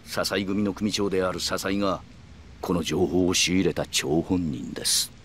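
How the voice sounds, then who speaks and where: An actor playing a calm, calculating middle-aged yakuza man who speaks in polite, measured, formal phrases in a video game. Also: low voice, a little hiss or hum. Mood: grave, explanatory. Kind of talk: Japanese video game cutscene acting.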